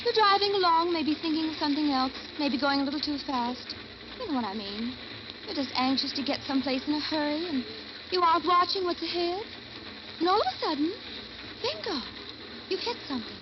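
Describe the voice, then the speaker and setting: A woman's voice: sits high, animated, a little fast. An actress playing a chatty, oblivious woman friend in a 1940s radio drama, talking in a folksy, rambling way. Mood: musing. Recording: muffled noisy vintage radio recording.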